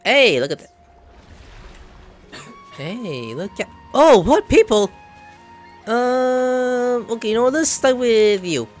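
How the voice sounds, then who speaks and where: Male game streamer over soft music. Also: extremely high pitch, sing-song, takes it slowly, pauses often. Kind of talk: casual let's play, reading dialogue aloud.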